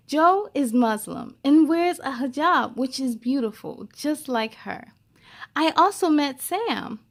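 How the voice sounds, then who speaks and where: A woman hosting a children's story time, reading aloud expressively with chatty asides and speaking encouragingly straight to young listeners. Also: high voice.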